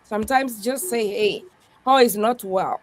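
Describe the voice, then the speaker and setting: A woman's voice: a little high, a little slow, a little hiss or hum, rough recording. A woman pastor speaking earnestly in a conversation, with a strong voice, who often adds "yeah" as she talks. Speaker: pastor, guest. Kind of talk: podcast conversation, reflective.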